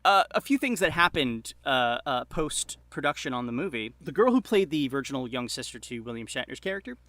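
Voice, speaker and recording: Bright-voiced man chatting, halting delivery. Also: mid-range voice, very animated, dry recording. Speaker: podcast guest. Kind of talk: casual chat, recounting film trivia.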